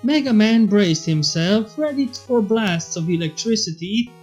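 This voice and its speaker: High-voiced young man reading, unhurried. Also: animated, darkish tone, slightly rough. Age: young adult. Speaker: fan fiction reader. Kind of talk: narration of a fan story. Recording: dull lo-fi with background music. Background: faint music.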